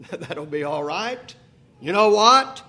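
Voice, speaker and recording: A man in his sixties preaching a sermon, asking rhetorical questions and slowing to a quiet, deliberate pace for emphasis. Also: high voice, expressive. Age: 60s. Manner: repeats "if you please" and "think about this".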